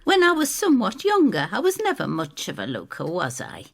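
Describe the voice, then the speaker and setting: A woman's voice: medium pitch, wildly swooping pitch, fairly quick, vocal fry, pitched above the performer's own voice, exaggerated voice. A woman voicing a bitter, self-critical older woman with a rueful, tart and emphatic manner in an audio drama. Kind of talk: audio drama storytelling dialogue.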